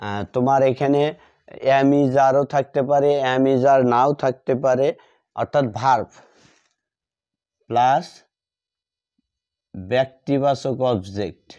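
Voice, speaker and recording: A man teaching English grammar, repeating words slowly as he writes them out. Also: mid-range voice, dark tone. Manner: slow, repeats words while writing them out. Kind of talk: slow classroom explanation while writing. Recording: dull lo-fi audio.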